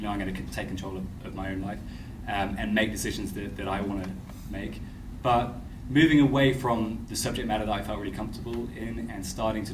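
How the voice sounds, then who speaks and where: A man in his thirties on an alumni panel, thoughtfully answering a question. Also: lowish voice. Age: 30s. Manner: reflective, thoughtful. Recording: roomy hall, distant mic, background noise.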